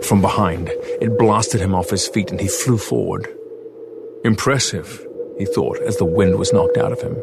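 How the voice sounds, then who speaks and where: A man's voice: fairly low pitch, normal speed, gravelly, heavy background noise. A man giving dramatic scripted narration of an action story in an audio drama. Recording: produced audio with loud music bed. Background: loud music.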